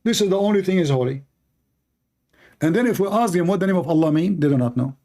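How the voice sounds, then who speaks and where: Emphatic man, native Arabic speaker, mellow voice. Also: high-pitched, lively intonation, fast talker. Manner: emphatic, repetitive, non-native phrasing. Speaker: religious debate host. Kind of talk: polemical commentary.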